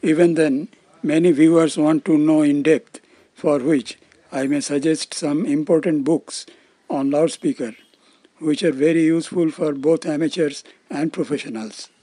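An older man, around his seventies, with an Indian accent, giving scripted explanatory narration in formal, measured phrasing. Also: on the high side, steady tone, dark tone, no echo. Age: older, around 70s. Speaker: presenter of loudspeaker-making videos.

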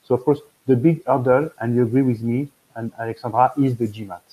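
A man's voice: mid-range voice, steady tone, fairly quick, breathy edge, narrow, tinny audio. French-accented man on a video call. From France. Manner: rambling, many fillers and restarts. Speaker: admissions consultant. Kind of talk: video call webinar talk. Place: room at home.

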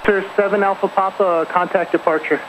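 Quick female tower controller on noisy radio. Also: medium pitch, fairly even intonation, dull audio. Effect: aviation radio. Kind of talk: procedural radio instructions. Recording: noisy band-limited aviation radio.

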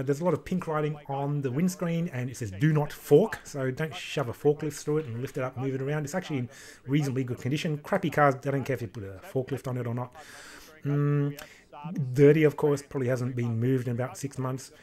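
A man in his thirties with an Australian accent, casually talking through car auction listings on a home livestream in a small room. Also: medium pitch. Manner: relaxed, chatty, rambling with many fillers. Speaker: car enthusiast streamer.

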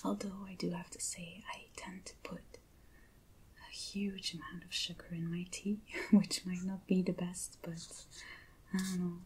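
A young woman speaks in a soft, whispery ASMR style, extremely breathy and close to the mic. Her voice is mellow and gentle, and she takes her time with slow, hushed phrasing. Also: fairly low pitch, little pitch movement. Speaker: ASMR creator unboxing items. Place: indoors at a table with a backdrop. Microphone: close ASMR microphone.

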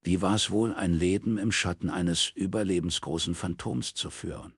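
Male audiobook narrator, raspy with vocal fry, measured literary read, dry studio sound. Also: sits low, fairly even intonation, dry recording.

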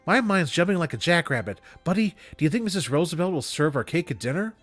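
A man voicing an affectionate, excitable elderly woman from a storybook, folksy and earnest in manner. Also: a little high, fast talker, soft background music, voice pushed up. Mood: excited.

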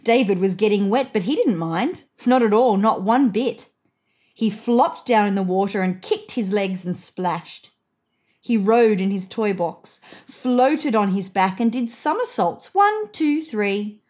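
A woman narrating a children's picture book expressively, with deliberate emphasis on key phrases. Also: mid-range voice, lively intonation, darkish tone.

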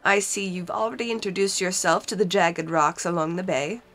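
A woman with a stuffy cold reads out a short, fussy harbor mayor from a game, slightly raised and lively in tone. Faint game music hums underneath. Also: on the low side, normal speed. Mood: welcoming. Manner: read aloud from on-screen text.

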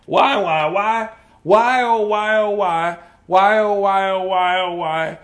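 A man's voice: high-pitched, takes it slowly, a bit bright. A man voicing an anxious male storybook elephant, pleading in a melodramatic, repetitive way. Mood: wailing.

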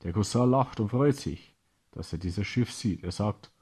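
A man's voice: fairly low pitch, animated, unhurried, darkish tone, husky. A man narrating a children's adventure story as its storyteller.

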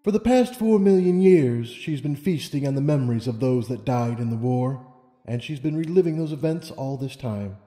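A man's voice: mid-range voice, animated, moderate pace, mellow, lightly acted. A man voicing a wise, serene female pony ruler in a storybook reading, with solemn, formal speech. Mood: grave. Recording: long reverb, music underneath.

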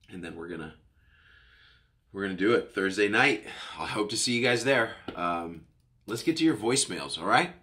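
A man's voice: low voice, bright, forceful tone. A middle-aged man hosting his own podcast from a home studio, rambling cheerfully, a bit stuffed up from a cold. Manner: rambling, upbeat, self-correcting. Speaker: podcast host. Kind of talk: casual solo podcast chat. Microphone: studio mic on boom arm, headphones.

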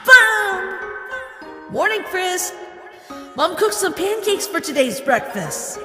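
A woman's voice: very high voice, sing-song, takes it slowly, reverberant, long reverb tail, music in the background, exaggerated voice. A woman playing a bossy, mischievous ghostly child with theatrical, teasing flair in a comic dub. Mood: gleeful, teasing.

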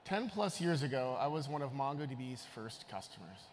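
A man's voice: mid-range voice, big pitch swings, normal speed, slight background noise. A middle-aged man giving a rehearsed keynote speech as a guest presenter on a conference stage. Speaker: tech product lead, guest presenter. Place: large conference stage, indoors. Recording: hall reverb, faint music behind.

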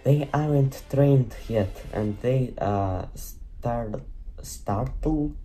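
A man's voice: mid-range voice, drawn-out words, mellow, soft background music. A man voicing a caring mother in a game, reading her lines aloud in a near-plain voice. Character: caring mother of the player character.

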